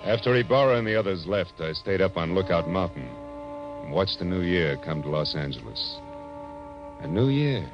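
An actor playing a tough, weary, reflective American private detective in a clipped, wry 1940s radio drama style. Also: male voice, low-pitched, very animated, moderate pace. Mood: wistful, weary. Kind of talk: 1940s radio drama acting, hard-boiled first-person narration. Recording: dull vintage radio recording, music behind.

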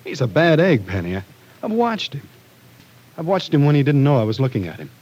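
An actor playing a stubborn, distrustful small-town brother in a 1940s radio drama, blunt and scoffing. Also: male voice, medium pitch, big pitch swings, fairly quick, darkish tone, breathy edge, lo-fi sound. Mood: insistent warning.

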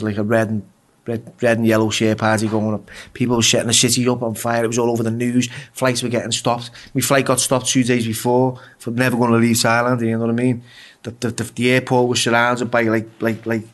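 English man telling raw prison stories. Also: medium pitch. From England. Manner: swears freely, stammers and repeats words. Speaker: guest. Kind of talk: casual interview storytelling.

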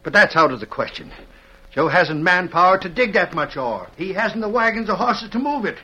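An actor playing an earnest small-town judge and banker, cautious about money, in an old-time radio western. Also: male voice, high voice, lively intonation, normal speed, sharp tone, slightly rough, exaggerated voice. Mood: regretful, firm. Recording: muffled old radio recording.

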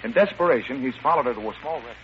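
A man's voice: medium pitch, expressive, very fast, slight background noise. A man announcing a radio drama in a formal, polished, scripted 1940s style. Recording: dull old radio broadcast.

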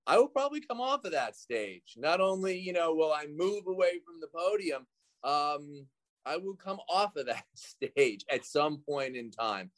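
Relaxed man guest, slightly high voice. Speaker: guest speaker and educator. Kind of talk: casual interview chat.

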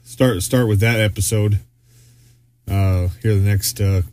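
Rambling man with a low voice. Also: steady tone, a little slow, darkish tone, a little hiss or hum. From Arizona, US. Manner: rambling, many fillers and restarts. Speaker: podcast co-host. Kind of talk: casual podcast chat.

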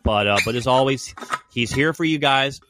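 A man's voice: medium pitch, moderate pace, background noise. A man hosting a sports podcast while he has a cold, chatting casually and making game predictions as an enthusiastic fan.

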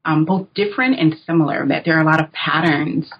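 A woman's voice: low voice, brisk, breathy edge, muffled recording. An American woman answering interview questions as an academic guest, in a reflective, informal, explanatory way. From United States (North Carolina). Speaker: guest, author and political science academic.

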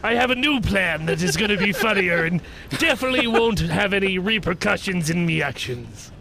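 Male tabletop player, forceful high voice with a creaky edge, theatrical casual banter, slight background noise. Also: expressive, brisk.

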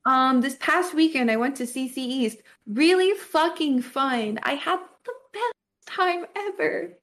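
A woman in her twenties with a fairly high voice talks like a podcast co-host catching up with a friend. Her speech is informal and slangy, with plenty of swearing. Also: unhurried, fairly bright tone. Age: 20s. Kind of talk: casual chat with a friend.

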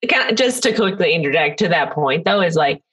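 Woman, from the Midwest, podcast host, casual chat, emphatic and agreeable, somewhat low pitch. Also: expressive, a bit bright, breathy edge. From US Midwest, Minnesota. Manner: emphatic, agreeable, jumps in with quick remarks.

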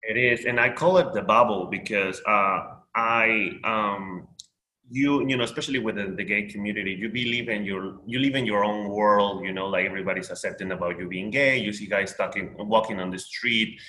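A man's voice: fairly low pitch, normal speed, bright, forceful tone, reverberant, poor audio. A man from Peru with a Peruvian Spanish accent, Spanish his first language, talking in a rambling casual conversation full of fillers and restarts.

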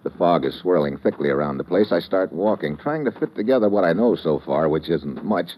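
An actor playing a wry, hard-boiled American investigator, terse and deadpan, narrating an old-time radio thriller. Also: male voice, sits low, fairly quick, a little hiss or hum. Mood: tense, terse. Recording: muffled vintage radio recording, dramatic music.

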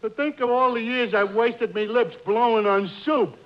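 A high-pitched dim-witted New lug. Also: male voice. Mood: aggrieved. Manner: slow-witted, halting, uneducated grammar. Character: Finnegan, dim-witted stubborn male lug who dreads kissing. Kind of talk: 1940s radio comedy acting. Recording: muffled, hissy old radio broadcast.

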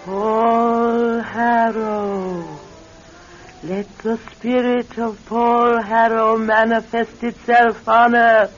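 A woman playing a solemn spirit medium summoning the dead in a radio drama, with an incantatory delivery. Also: a little high. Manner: slow, incantatory. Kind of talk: classic radio drama acting. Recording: muffled old radio recording, music underneath.

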